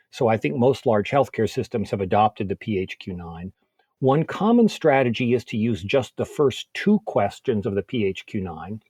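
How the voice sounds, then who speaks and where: A man with a fairly low voice answers as an expert guest. He explains things in a measured, methodical way, recorded close and dry with no room sound. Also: a little mellow, breathy edge, no echo. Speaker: expert guest, psychiatrist and researcher.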